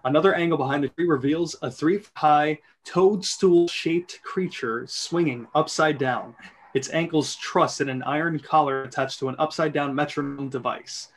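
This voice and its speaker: A man's voice: on the high side, normal speed. A man narrating screenplay stage directions in an amateur group reading.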